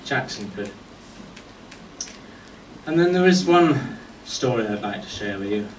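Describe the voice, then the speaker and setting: Male eulogy speaker, anecdotal and measured with deliberate pauses; mid-pitched, recorded from afar in a noisy, echoing room. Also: expressive. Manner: storytelling in short phrases with deliberate pauses. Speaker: friend giving a memorial tribute. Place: golf club function venue at a memorial service. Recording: echoey distant mic with background noise.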